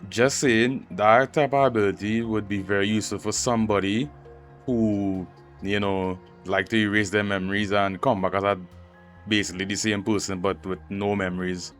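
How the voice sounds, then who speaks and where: Low-voiced man commenting over game music. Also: steady tone, normal speed, a bit bright. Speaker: game streamer reacting to and reading a game story. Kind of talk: casual playthrough commentary. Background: game music under the voice.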